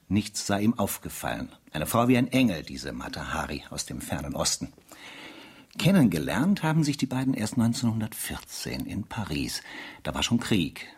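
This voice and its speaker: A man's voice: on the low side, darkish tone, breathy edge. An actor playing a sardonic, weary male investigator who narrates a spy case theatrically in a radio play. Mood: sardonic recounting. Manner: sardonic, theatrical, rhetorical asides.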